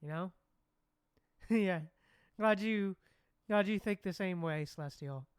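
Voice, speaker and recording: A woman's voice: medium pitch, slow pace, soft background music. A woman streaming a game reaction, chatty and laughing often as she answers her chat. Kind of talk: casual live-stream commentary.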